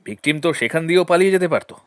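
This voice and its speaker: An actor playing a shrewd, suspicious lawyer-detective in an audio drama, questioning in a measured, deliberate way. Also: male voice, on the high side, lively intonation, clear, resonant tone, no room sound, studio quality, natural voice. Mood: pondering.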